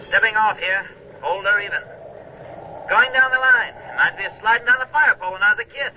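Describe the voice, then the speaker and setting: An actor playing a tough deep-sea diver, giving clipped reports in a 1940s radio drama. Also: male voice, fairly quick, recorded from a distance, lightly acted. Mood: strained, businesslike. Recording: muffled lo-fi radio recording, noisy background.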